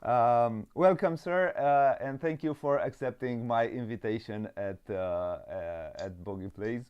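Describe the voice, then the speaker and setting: A man hosting a board game interview show, cheerful and hesitant, pausing often on fillers. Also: mid-range voice, smooth, muffled recording. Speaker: podcast host. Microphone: video call.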